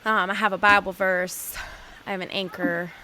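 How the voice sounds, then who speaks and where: A young woman from Southern California, co-hosting a podcast in casual chat, full of fillers and quick laughter. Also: mid-range voice, little pitch movement, bright, forceful tone, slight background noise, rough recording. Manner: chatty, laughs often, many fillers. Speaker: podcast co-host.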